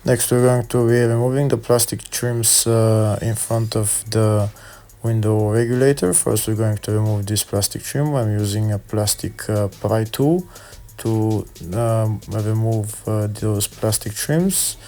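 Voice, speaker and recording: A man's voice: on the low side, fairly even intonation, unhurried, mellow. A man giving step-by-step how-to narration in a plain, hesitant instructional manner. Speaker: car repair video host.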